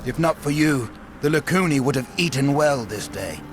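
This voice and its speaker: A man's voice: mid-range voice, lively intonation, normal speed, close to an everyday voice. An actor voicing a male captain giving an urgent report, in game audio with music behind.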